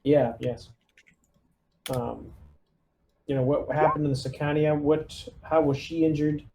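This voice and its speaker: A man's voice: medium pitch, dark tone. A man playing a tabletop roleplaying game, talking through his plans in a casual way. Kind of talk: casual in-character game talk. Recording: roomy echo, faint background noise.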